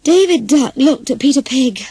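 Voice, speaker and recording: A woman reads a children's tale in a high, husky voice. Her delivery swings widely in pitch, and the recording is close and dry. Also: lively intonation, moderate pace, dark tone, dry recording. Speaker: storyteller reading a children's audiobook. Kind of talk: animated scripted children's story narration.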